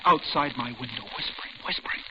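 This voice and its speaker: An actor playing a paranoid, deranged cook who gloats and taunts with menacing politeness in an old-time radio suspense drama. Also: male voice, mid-range voice, animated, fast, close to an everyday voice. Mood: paranoid gloating. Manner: taunting, repeatedly addressing his victim with mock politeness. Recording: muffled vintage radio recording, loud crackling hiss.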